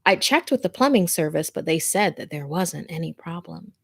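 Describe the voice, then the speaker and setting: A woman hosts an informal scary story show in a slightly low voice. She is chatty and relaxed in wording, moving between addressing viewers and reading what they sent in. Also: normal speed, gravelly. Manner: chatty, informal, easily amused. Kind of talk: casual livestream chat and reading listener messages and stories aloud.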